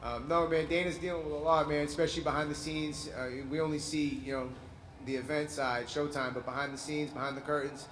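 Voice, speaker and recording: Fast-talking fighter, echoey noisy room. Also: male voice, on the high side, steady tone. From New England. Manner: casual, swears freely, says man a lot. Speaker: fighter and promoter. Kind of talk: press scrum answers. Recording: echoey room with loud crowd noise.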